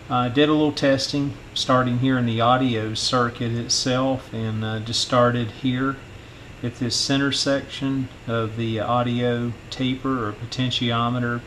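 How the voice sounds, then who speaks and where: A middle-aged man talks through a repair in an informal, halting way, thinking aloud. His mid-pitched voice stays very even and flat, with clear noise behind it. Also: poor audio. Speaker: host of a radio repair channel. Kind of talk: informal hands-on repair walkthrough. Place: indoor electronics workbench.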